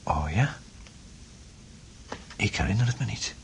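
A man's voice: lowish voice, animated, a little slow, vocal fry. An actor in a Dutch radio play playing a depressed, sleepless, recently separated man who speaks hesitantly. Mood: groggy, troubled. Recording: noisy old radio drama recording.

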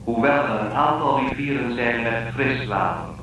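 A man's voice: mid-range voice, normal speed, close to an everyday voice. An actor playing a cold, controlling male ship computer in a radio drama. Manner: flat, precise and controlling. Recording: echoey distant voice with loud noise.

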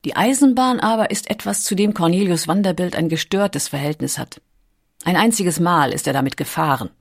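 A woman's voice: on the low side, fairly quick, gravelly, slightly rough. A woman reading scripted documentary narration in a composed, carefully articulated storytelling manner.

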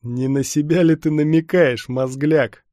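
A man's voice: medium pitch, normal speed, mellow, no room sound, studio quality, natural voice. Man voicing a menacing huge cyborg trooper, growling. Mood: sneering. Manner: growling, sneering, threatening. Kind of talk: audiobook character acting.

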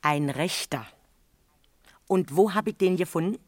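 An actress playing a chatty, excitable neighbour giving evidence in a radio crime play, colloquial and emphatic, with a Berlin accent. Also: female voice, on the low side, a little slow, no room sound. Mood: eager, self-important. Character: chatty, excitable, eager female neighbour as witness.

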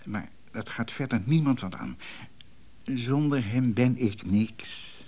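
An actor playing an evasive, indecisive, troubled man in a radio drama, speaking haltingly with a husky voice. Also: mid-range voice, dark tone. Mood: uneasy, evasive. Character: evasive, indecisive, troubled man caught between two women. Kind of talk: radio drama acting, dialogue.

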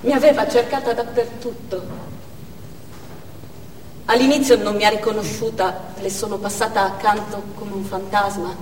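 An actress playing a grieving young Chechen war widow in a stage monologue, speaking in stark, halting short phrases. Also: female voice, mid-range voice, steady tone, a little mellow, recorded from a distance, close to an everyday voice. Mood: numb grief. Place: theatre stage, distant microphone. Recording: echoey theatre hall from afar, noisy.

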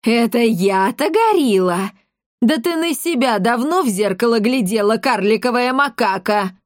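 A woman's voice: fairly high pitch, expressive, unhurried, no room sound, clean studio sound. A woman voicing a hefty orphanage matron in a storybook, easily offended, indignant and combative. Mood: roaring indignation.

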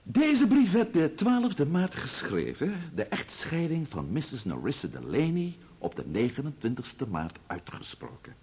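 An actor playing a formal, grave and indignant barrister in a radio drama, speaking in pompous, oratorical courtroom diction. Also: male voice, fairly high pitch, wildly swooping pitch, unhurried, darkish tone, husky, heavily acted. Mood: formal, measured.

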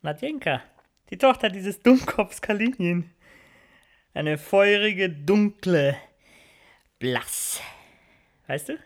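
A man's voice: high-pitched, animated, slow, long pauses, heavily acted. An actor playing a dissolute, boastful count and womanizer in a radio play, with an affected, effusive manner. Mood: lecherous, playful. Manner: affected, effusive, self-indulgent. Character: dissolute, flippant Russian count, boastful womanizer, theatrically indignant. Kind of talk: radio drama acting.

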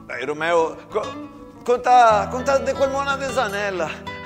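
Man acting loud rowdy Veneto trench soldier. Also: sits high, lively intonation, takes it slowly, over music, voice pushed up. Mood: egging on. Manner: loud, coarse, teasing dialect banter. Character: rowdy, mocking Venetian soldiers in a First World War trench.

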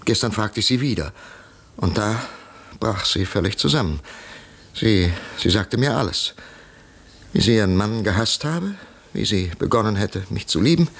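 Gravelly, husky, troubled gentleman. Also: on the low side, exaggerated voice. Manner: halting, dramatic, emotional. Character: troubled, grieving wealthy gentleman, agitated and haunted. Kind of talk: 1950s German radio play acting.

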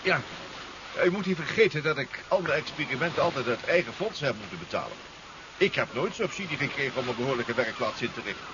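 An actor playing a hesitant but indignant professor and inventor in a 1970s radio drama. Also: male voice, mid-range voice, sing-song, quick pace, natural voice. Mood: hesitant, earnest. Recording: muffled, noisy 1970s radio recording.

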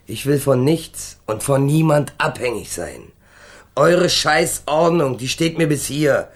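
An actor in a radio crime drama playing a defiant young ex-convict, cynical and remorseless, talking in brash, dismissive slang. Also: male voice, medium pitch, lively intonation, slow pace. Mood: scornful. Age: young adult.

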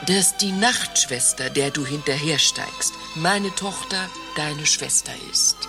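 A woman's voice: sits low, slow pace, over music. An actress playing a grand female patient with a stately, theatrical, dry manner in a satirical radio play. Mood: grandly dramatic.

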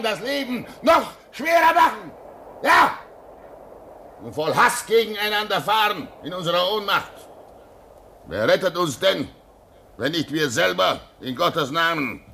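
A man's voice: high voice, gravelly, a little hiss or hum, exaggerated voice. An actor playing a pious older man at a polar station who consoles and admonishes his companions, in a radio drama. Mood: admonishing. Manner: soothing, gently admonishing.

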